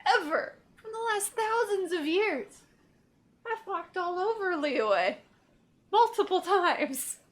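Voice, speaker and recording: High-voiced woman doing playthrough commentary. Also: expressive, slow, fairly bright tone. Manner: jokey paraphrasing of characters. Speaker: gaming video host and player.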